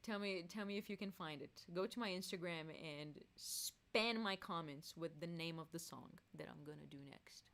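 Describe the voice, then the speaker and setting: A woman's voice: fairly low pitch, normal speed, vocal fry, hardly any pauses, a bit bright. A woman singer hosting a casual live stream, reading chat aloud and laughing often.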